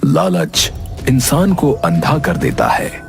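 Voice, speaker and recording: A man playing a vengeful, gloating sorcerer sadhu in a cartoon story, speaking in theatrical phrases with dramatic pauses. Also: medium pitch, wildly swooping pitch, gravelly, music in the background, full character voice.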